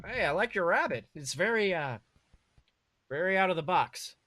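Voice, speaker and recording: A man's voice: high-pitched, animated, moderate pace, bright, forceful tone, dry recording, full character voice. A man voicing a cocky, joking billionaire superhero with glib, casual banter in a story reading. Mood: teasing warmth.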